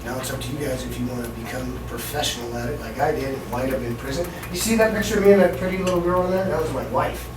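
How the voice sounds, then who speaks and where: A middle-aged man speaks candidly and bluntly to a room of students, his voice at an ordinary mid pitch. He is picked up from some distance in a roomy, echoing hall, with steady background noise. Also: rapid-fire, few pauses. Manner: candid, blunt, plain-spoken. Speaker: speaker at a school assembly. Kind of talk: frank talk to students. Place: school hall. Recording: echoey hall from far off, noisy room.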